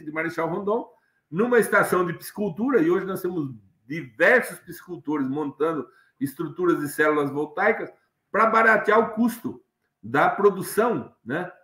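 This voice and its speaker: A man's voice: high voice, a bit bright. A middle-aged man giving an online lecture from his study, rambling with many fillers and restarts. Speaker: guest lecturer. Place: home study with bookshelves. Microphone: webcam on video call. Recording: echoey room on video call.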